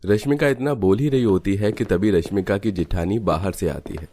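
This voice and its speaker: Guy narrating a hindi cartoon story, really deep voice, scripted storyteller read. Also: moderate pace, mellow.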